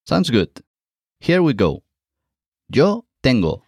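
A man teaching Spanish as co-host of a scripted language lesson, saying each phrase slowly and clearly and encouraging the learner. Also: medium pitch, huge pitch swings, a little mellow, no room sound, clean studio sound.

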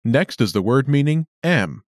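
Lively man hosting a language lesson. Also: medium pitch, measured pace, no room sound, clean studio sound. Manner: upbeat, clear announcer delivery. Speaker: lesson host. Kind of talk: scripted lesson narration.

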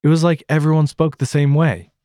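A man with an American accent hosting a scripted language lesson podcast in an upbeat, expressive way. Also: fairly high pitch, fairly quick, no room sound, studio quality. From United States. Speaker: podcast host.

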